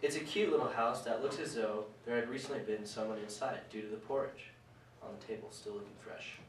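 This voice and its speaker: A man's voice: mid-range voice, brisk. A man narrating a scripted storybook tale as part of a puppet show. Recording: very echoey room, distant, faint noise.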